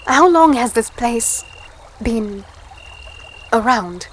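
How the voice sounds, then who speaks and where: A woman playing a guarded, curious young human captive in a dark fantasy audio drama, hesitant, polite and introspective. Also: on the high side, expressive, drawn-out words, slight background noise. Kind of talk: first-person audio drama narration and dialogue.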